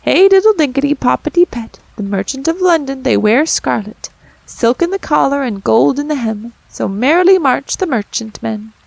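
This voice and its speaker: A woman's voice: extremely high pitch, drawn-out words, no room sound, voice pushed up, full character voice. A woman voicing a chorus of tiny merry mice who sing nursery rhymes in a gleeful, twittering sing-song.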